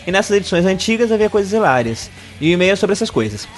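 A man's voice: sits high, big pitch swings, faint music behind. A young man from Brazil co-hosting a casual podcast, joking and reading listener e-mails aloud. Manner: quick, joking, laughs often.

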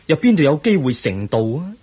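An actor with a Hong Kong Cantonese accent playing a pensive, earnest young crown prince in a radio drama, speaking formally and reflectively. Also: male voice, fairly high pitch, sing-song, a little fast, mellow, slightly rough, exaggerated voice. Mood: brooding.

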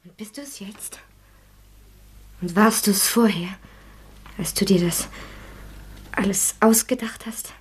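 An actress playing a gentle, devout, steadfast young woman in a German radio drama, soft and often whispering, earnest and imploring. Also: medium pitch, slow, pauses often, a little hiss or hum, lightly acted. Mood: earnest, questioning.

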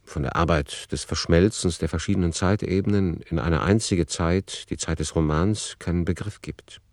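A man playing a reflective author who narrates a literary radio play in a deliberate, ponderous manner. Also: sits low, a little mellow, no room sound, studio quality. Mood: measured. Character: reflective male author musing over his novel.

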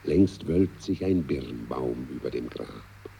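A man's voice: a bass voice, animated, slow, vocal fry, warm tone, slight background noise. A man reciting a verse ballad in a theatrical, dramatic manner, savouring each line.

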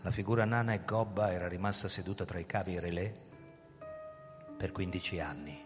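Casting: male actor as desperate middle-aged cop on the run, deep-toned radio drama lead in a very reverberant hall. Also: lightly acted. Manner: theatrical, swinging from hushed narration to agitated outbursts. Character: hunted middle-aged police chief, driven and desperate, narrating his story. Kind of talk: dramatic radio play narration and acting. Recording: long hall reverb, music underneath. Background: music.

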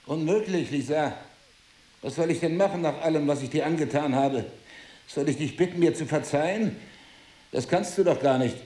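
An actor playing a remorseful, bewildered husband in an intimate drama, speaking in a grave, halting, restrained way. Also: male voice, fairly high pitch, mellow, echoey room, lightly acted. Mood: despairing. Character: remorseful, bewildered husband, resigned to leaving his wife. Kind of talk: intimate dialogue-driven audio drama.

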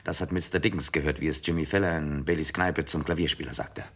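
A man playing a wry, middle-aged detective chief who muses aloud in a gravelly, husky voice in a vintage radio crime play. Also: a bass voice, fairly even intonation, quick pace, fairly bright tone, heavily acted. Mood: satisfied, dry. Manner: ponderous, musing aloud, dryly superior. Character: musing, wry Scotland Yard detective chief, male.

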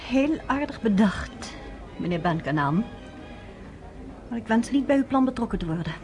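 A woman's voice: fairly high pitch, lively intonation, normal speed, darkish tone, faint music behind, close to an everyday voice. An actress playing a cool, polite, independent-minded woman in a radio play, speaking formally and guardedly. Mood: coolly dismissive. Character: cool, reserved American woman, polite but firmly independent.

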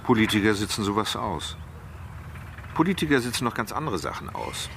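A man's voice: on the low side, very animated, a little fast, gravelly, fairly bright tone, a little hiss or hum, heavily acted. An actor playing a dry, cynical man in a radio crime play. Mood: dry, sceptical.

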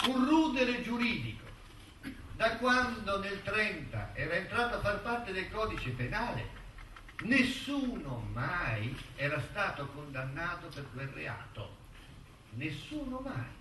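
A defiant male intellectual recounting his trial in a stage drama. The high voice moves animatedly and stresses key phrases with deliberate emphasis. It sounds distant, in an echoing room. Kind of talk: theatrical monologue. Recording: roomy, distant stage recording, faint noise.

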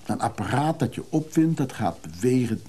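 A modest middle-aged antiques hunter in a radio play. His voice is husky and dark at a medium pitch. He sounds wistful and low-key, thinking aloud with small hesitations. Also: big pitch swings, moderate pace. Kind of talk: naturalistic radio drama dialogue.

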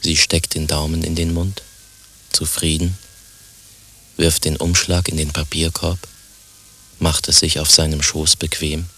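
A man with an extremely deep, husky voice narrates a radio play. He reads stage directions deadpan and flat, with a firm, bright edge. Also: unhurried, pauses often, exaggerated voice.

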